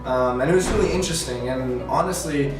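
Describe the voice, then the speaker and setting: A young man from Colombia talks through interview answers, rambling a little and correcting himself as he goes. He is heard from a distance in a very echoey room, with music playing clearly behind him. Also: medium pitch, brisk. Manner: rambling, self-correcting, many fillers. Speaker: student interviewee.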